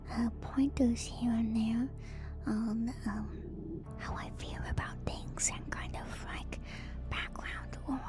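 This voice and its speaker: Whispering, high-voiced woman ASMR streamer. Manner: soft, hushed, switching between whisper and quiet speech. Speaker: livestream host. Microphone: very close microphone with gain turned up, mouth noises. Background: music.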